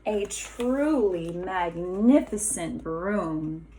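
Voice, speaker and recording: Slow, animated woman reading a storybook. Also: on the low side, a little hiss or hum, low-quality recording. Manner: emphatic, drawn-out key words. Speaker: kindergarten teacher reading aloud. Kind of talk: expressive storybook narration for young children.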